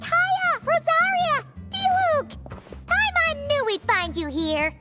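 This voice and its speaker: A tiny fairy sidekick with an extremely high, childlike voice. She chirps and exclaims with bubbly, nosy energy and swooping pitch, as in an anime game, over loud jingly music. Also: lively intonation, slow pace, full character voice. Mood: excited. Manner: chirpy, exclaiming, refers to self by name. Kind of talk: anime-style game voice acting.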